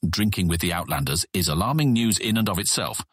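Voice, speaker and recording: An actor playing an older, authoritative guild deputy in a fantasy audiobook, formal and condescending, used to being obeyed. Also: male voice, low-pitched, expressive, fairly quick, husky, no room sound, clean studio sound. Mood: commanding, wry. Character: commanding, stern, suspicious older male guild deputy. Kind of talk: full-cast fantasy audiobook acting.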